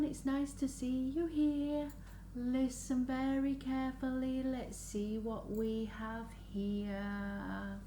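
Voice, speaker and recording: A woman reading a picture book aloud to young children in an expressive, sing-song way, stopping to ask them questions. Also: fairly high pitch. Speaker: children's story reader. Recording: roomy echo, faint background noise.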